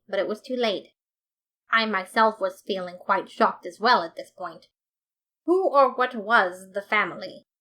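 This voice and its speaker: A woman narrating a fan fiction story as a podfic reader, in a steady, measured way. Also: mid-range voice.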